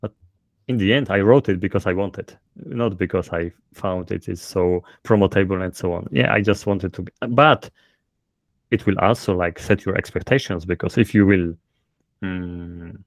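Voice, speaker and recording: A man's voice: low-pitched, no room sound. A man with a thick Polish accent, a self-published author explaining his methods in an interview, hesitant with many fillers. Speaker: guest author. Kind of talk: casual interview.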